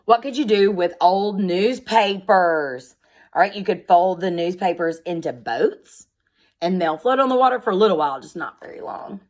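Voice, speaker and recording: A woman speaking as a schoolteacher, reading aloud and explaining things in simple, instructive words addressed directly to young children. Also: mid-range voice.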